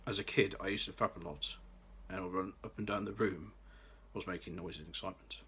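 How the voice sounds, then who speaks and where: Low-voiced young man, bright tone. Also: steady tone, fairly quick, breathy edge. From Birmingham, England. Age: late 20s. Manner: careful, read-aloud delivery with occasional restarts. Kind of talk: scripted personal vlog.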